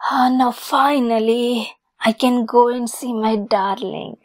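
A woman's voice: on the high side, steady tone, slow. A woman playing a lovesick, possessive young kitsune fox girl in an ASMR roleplay, sweet, coy and giggly in manner. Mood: lovesick, dreamy.

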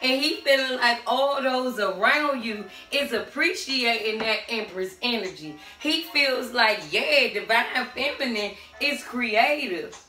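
A middle-aged woman with a hoarse voice giving a tarot reading to camera in a small room at home, sassy and sing-song, calling out to the spirits. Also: fairly high pitch, lively intonation, takes it slowly, few pauses, pushed and bright. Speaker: tarot reader. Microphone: camera standing a few steps away. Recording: echoey room, far from the mic, faint music.

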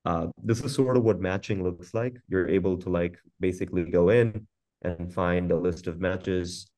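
Man, low pitch, informal webinar presenter, conversational, halting with repeated words. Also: dark tone. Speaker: company founder presenting product. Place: indoors on a video call. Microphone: video call.

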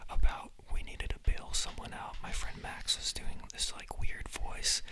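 Young man whispering chatty stories. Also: measured pace. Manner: chatty and giggly, many fillers, whispering throughout. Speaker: podcast host. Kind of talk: whispered casual storytelling. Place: friend's house, indoors. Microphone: phone.

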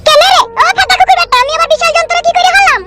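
A woman voicing a scolding, aggrieved village wife and mother in a comedy cartoon, full of shrill, nagging complaints. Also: racing. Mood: indignant. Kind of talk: amateur comedy cartoon dialogue. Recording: music bed behind dialogue.

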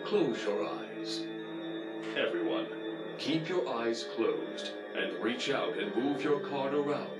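A man's voice: mid-range voice, expressive, takes it slowly. A man narrating scripted game instructions as the moderator. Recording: roomy echo, music behind. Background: music.

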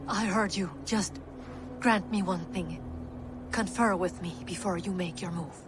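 A woman's voice: on the low side, unhurried, noisy background, close to an everyday voice. A woman playing an earnest, loyal Norse noblewoman in a video game, terse and formal. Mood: anxious. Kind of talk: dramatic video game cutscene acting.

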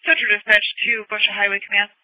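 A man's voice: sits high, little pitch movement, quick pace, no room sound. A man working as an emergency dispatcher, giving formal announcements over a two-way radio. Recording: tinny phone-like scanner radio feed.

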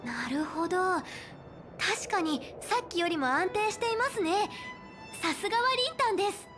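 A woman's voice: extremely high pitch, lively intonation, normal speed, music in the background. A woman voicing a bookish, earnest young woman in an anime game, speaking politely and precisely. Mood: admiring. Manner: polite formal speech, precise, studious.

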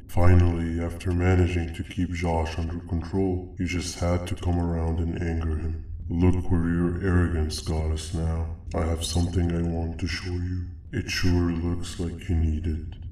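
A man's voice: deep voice, echoey room, recorded from a distance, lightly acted. A man voicing a gloomy, weary jellyfish monster in a video game, speaking in a somber, resigned, reproachful way. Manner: flat, somber, deliberate. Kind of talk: scripted video game character lines.

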